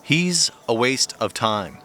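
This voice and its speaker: A man's voice: medium pitch, sing-song, slow, sharp tone, faint music behind, full character voice. A man playing a skeptical, candid friend of a detective, emphatic and sardonic, in a naturalistic audio drama. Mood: doubtful.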